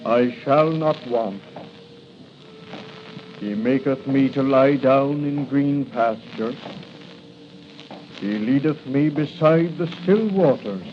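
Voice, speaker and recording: An actor playing a solemn, measured prison chaplain in a 1940s radio drama. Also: male voice, mid-range voice, close to an everyday voice. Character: solemn, steadying prison chaplain reciting scripture. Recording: noisy, muffled 1940s radio recording.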